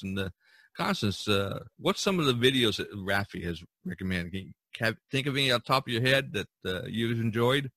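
Bright-voiced man hosting a podcast. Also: fairly low pitch, no echo. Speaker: podcast host. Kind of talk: casual podcast conversation.

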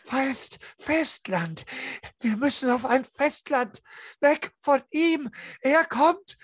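Man putting on a high, frightened, pleading woman. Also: lively intonation, a bit bright, full character voice. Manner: halting, repetitive, pleading. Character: terrified, confused stranded young woman, pleading. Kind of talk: tabletop roleplay acting.

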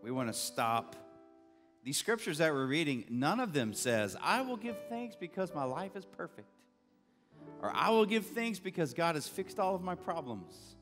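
A man's voice: fairly high pitch, very animated, normal speed, fairly bright tone. A middle-aged man, a pastor preaching a conversational sermon from a stage with a handheld mic, earnest and building to emphatic bursts. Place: large church stage. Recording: long reverb of a church hall, faint music.

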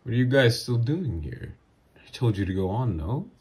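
Male actor as psychopathic, flirty villain ex for ASMR roleplay; dark, mellow tone with swooping intonation, smug and teasing. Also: mid-range voice, very animated, slightly rough. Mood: smug menace. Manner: smug, drawn-out, intimate teasing.

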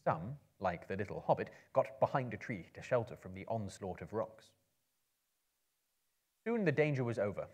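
A male storyteller reads a fantasy novel aloud in a theatrical, measured way. His voice is fairly low and husky, with lively rises and falls. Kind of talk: dramatic reading of a fantasy novel to a live audience. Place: book-lined room with a live audience.

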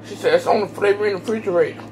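A woman's voice: medium pitch, very fast, a little mellow. A woman off camera bluntly chatting back during a family dinner. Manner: blunt, talks back from across the room. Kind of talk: casual chat. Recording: distant, noisy home recording.

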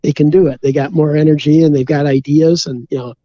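A man's voice: a little high, fairly even intonation, fast talker, mellow, lo-fi sound. A man from Minnesota, a beer brewer, chatting easygoingly as a podcast guest and filling his talk with "you know". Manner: rambling, easygoing, many "you know" fillers. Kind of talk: casual interview chat.

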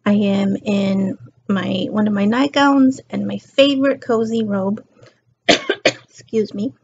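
Vlogger woman, middle-aged, worn out and a bit raspy, rambly chat, dull lo-fi webcam sound. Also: mid-range voice, slow pace. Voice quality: slightly raspy. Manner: rambling and chatty, drifts between topics. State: tired. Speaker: beauty and lifestyle vlogger. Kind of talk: casual chat to camera. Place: living room couch, indoors. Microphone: built-in webcam mic.

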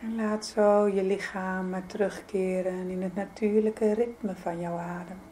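A woman leading a guided yoga nidra meditation in a soothing, gentle, unhurried manner. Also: mid-range voice, level tone, slight background noise. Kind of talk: guided meditation, calm spoken instruction.

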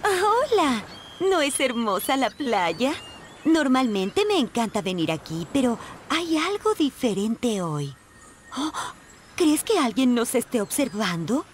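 An eager teenage mermaid princess in an animated game. Her voice is high and very lively, dreamy and bubbly, with some faint noise behind it. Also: slow. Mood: anxious. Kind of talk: animated video game voice acting.

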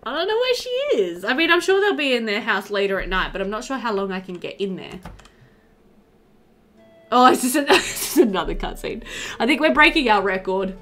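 A woman's voice: a little high, expressive, brisk, a bit bright. A young adult woman giving casual, chatty commentary while playing a video game. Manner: chatty, reads game dialogue aloud playfully. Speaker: gaming video host. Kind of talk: casual let's play commentary. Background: game music.

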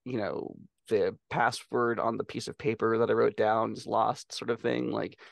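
Low, gravelly man thinking aloud. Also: little pitch movement, normal speed, no room sound, studio quality. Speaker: podcast co-host. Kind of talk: casual chat. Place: indoor room on a video call.

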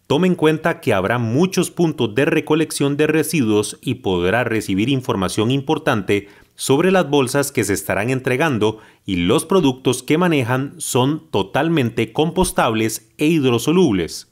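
A man narrating a news report in a clear, measured newsreader delivery. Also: mid-range voice, lively intonation.

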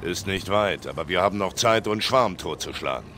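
A deep-voiced, gruff older soldier from a video game. He is stoic and terse, yet his low pitch swings widely as he speaks. Clear battle noise sits behind him. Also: lively intonation, moderate pace. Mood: terse, calm. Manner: terse, dry, commanding. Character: gruff old soldier father, stoic and dour.

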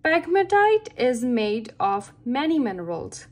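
A woman's voice: sits high, sing-song, unhurried. A woman reading a children's science book aloud with careful phrasing meant for young listeners. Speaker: read-aloud reader for children.

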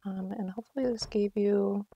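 A woman's voice: medium pitch, steady tone, brisk, slightly rough, dull audio. A woman giving casual, chatty commentary on a live game stream, talking to herself with mock exasperation.

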